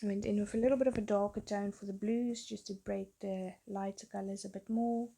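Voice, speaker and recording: Gravelly, mellow-voiced woman narrating her painting. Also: mid-range voice, steady tone, a little fast. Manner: rambling, chatty, self-deprecating. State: losing her voice, getting sick. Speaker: artist narrating a painting video. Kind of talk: casual voice-over narration. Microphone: phone.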